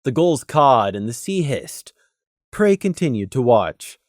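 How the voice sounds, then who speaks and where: Expressive storybook narrator, close dry sound. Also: medium pitch, a little slow, dry recording. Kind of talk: scripted audiobook narration of fan fiction.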